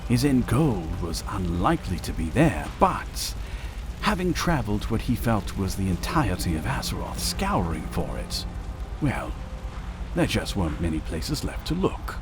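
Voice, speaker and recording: A man reading scripted narration for a dramatic audio story in a measured, theatrical manner. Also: fairly low pitch.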